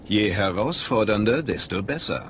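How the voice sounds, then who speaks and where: A man playing a determined, eager dark acolyte in a video game, speaking directly, confidently and formally. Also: fairly low pitch, lively intonation, normal speed, a little hiss or hum. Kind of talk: dramatic video game voice acting in German.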